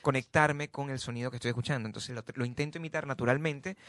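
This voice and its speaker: A man in a casual group chat, animated and jokey, repeating phrases for emphasis. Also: mid-range voice, fast. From Venezuela. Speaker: musician and actor.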